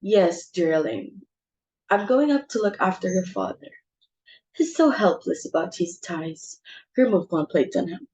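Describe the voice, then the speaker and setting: A woman's voice: fairly low pitch, expressive, a little slow, darkish tone, rough recording. A woman playing a bossy, social-climbing society mother with theatrical exclamations in an amateur stage comedy reading. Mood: fussy, commanding.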